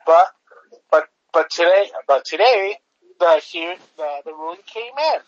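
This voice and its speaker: A man co-hosting a podcast, chatting casually about politics in a halting way, piling up fillers and restarts. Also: sits high, lively intonation, no echo, highs cut off. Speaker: podcast co-host.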